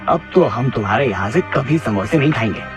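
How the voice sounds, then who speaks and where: A man's voice: medium pitch, animated, a little fast, close to an everyday voice. A man playing a blunt, scolding, indignant snack customer in a cartoon moral story. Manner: blunt, complaining. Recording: clear background music under the voice.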